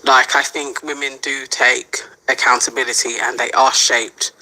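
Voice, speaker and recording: Brief: female panel guest, bright tone, thoughtful, argues back. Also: rumbling low, level tone, moderate pace, low-quality recording. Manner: thoughtful, pushes back on the point.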